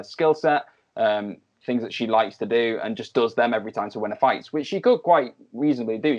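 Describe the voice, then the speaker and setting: Chatty Mancunian man, slightly dull audio. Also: mid-range voice. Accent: Mancunian English. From England. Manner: chatty, animated, with frequent fillers and restarts. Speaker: co-host. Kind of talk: casual sports chat.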